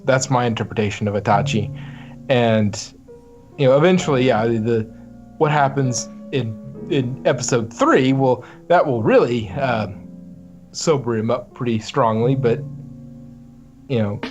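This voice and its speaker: A man talks casually as a podcast guest with music clearly playing behind him. He is reflective and halting, working out his thoughts on acting as he goes. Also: mid-range voice, darkish tone, highs cut off. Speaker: guest voice actor.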